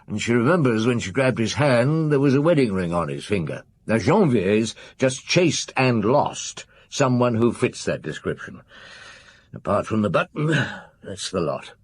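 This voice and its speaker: A gruff police chief inspector in a radio drama. His medium-pitched voice is husky, and he questions and gives orders with brisk authority. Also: dry recording.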